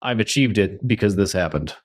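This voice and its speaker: A man's voice: lowish voice, expressive, brisk. A man hosting an interview, asking curious, probing questions with some hesitations. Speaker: interview host. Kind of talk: casual interview talk.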